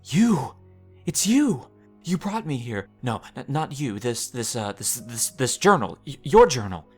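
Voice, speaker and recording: A man's voice: medium pitch, sing-song, unhurried, husky, faint music behind. A man playing a confused, half-mad young wizard in a fantasy audio drama, stammering and frantic. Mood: frantic confusion.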